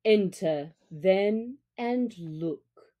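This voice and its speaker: A woman voicing a stern, irritable father wolf in a storybook, speaking in a stiff, gruff, curt way. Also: medium pitch, animated, extremely slow, warm tone.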